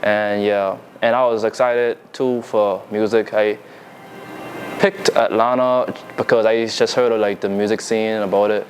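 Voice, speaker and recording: A young man from the Bahamas with a Bahamian accent, talking casually as a music artist being interviewed, relaxed and full of fillers and restarts. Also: lowish voice, measured pace, slight background noise. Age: young adult. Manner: laid-back, loose, lots of fillers and restarts. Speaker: musician guest.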